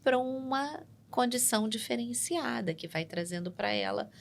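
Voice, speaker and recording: A woman psychologist, explaining ideas in a measured way on a studio podcast and often checking in with "né?". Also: fairly high pitch, creaky. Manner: measured and explanatory, tags points with "né?". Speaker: psychologist guest. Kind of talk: explanatory interview talk.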